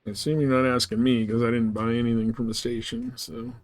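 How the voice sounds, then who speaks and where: A man chats casually as he streams a game, thinking aloud between remarks to viewers. His pitch is average for a male voice. The sound is dry and close, with very little room in it. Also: little pitch movement, brisk, no room sound. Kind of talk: casual chat while playing a space game.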